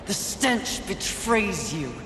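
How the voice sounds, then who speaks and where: A woman's voice: medium pitch, big pitch swings, takes it slowly. A woman playing a proud, fierce supernatural warrior rider in a video game, commanding and defiant. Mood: cold, defiant. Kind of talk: dramatic video game acting. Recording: echoey reverberant game audio with loud noise.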